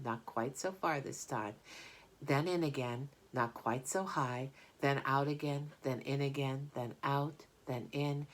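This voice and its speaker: A woman narrating a children's storybook aloud as a reader. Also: sits low. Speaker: audiobook reader.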